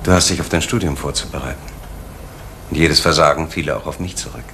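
A man's voice: extremely low pitch, quick pace, pushed and bright, a little hiss or hum, heavily acted. An actor playing a strict middle-aged male postal official and father, stern and commanding, in 1970s radio drama style. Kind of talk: 1970s East German radio drama acting.

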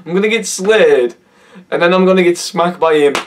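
A hoarse teenage young man with a Cumbrian accent, chatting and laughing in a casual reaction vlog from his bedroom. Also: high-pitched, recorded from a distance, a little hiss or hum. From Cumbria, England. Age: teens. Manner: laughing, swearing, incredulous. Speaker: YouTuber. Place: bedroom, indoors. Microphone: webcam at desk.

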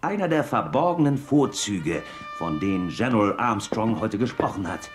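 A man acts a confident, knowing lawman in a children's Western radio play. His mid-pitched voice moves with lively intonation over music. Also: normal speed, lightly acted. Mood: explaining, knowing. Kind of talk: children's audio drama acting.

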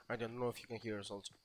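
A man playing as a teammate, answering briefly and casually in a relaxed way. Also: on the low side, heavy background noise. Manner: brief, laid-back replies. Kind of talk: casual gaming chat.

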